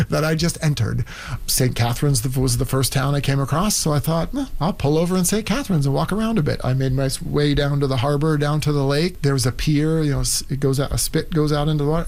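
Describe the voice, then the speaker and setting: A man's voice: on the high side, darkish tone. A middle-aged man telling his own story conversationally, acting out little quoted asides as he goes. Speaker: interviewee, immigrant doctor.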